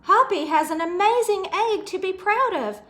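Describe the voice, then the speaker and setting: A woman's voice: extremely high pitch, expressive, moderate pace, a bit bright, pitched above the performer's own voice. A woman voicing a kindly, stately male Easter rabbit from a storybook, in a gracious, declaratory way. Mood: proud, announcing.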